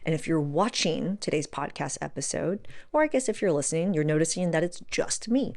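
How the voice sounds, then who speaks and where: Low-pitched woman hosting a podcast. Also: expressive, brisk, creaky, darkish tone. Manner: conversational, emphatic repetition, rhetorical questions. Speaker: podcast host. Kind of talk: casual solo podcast talk giving advice.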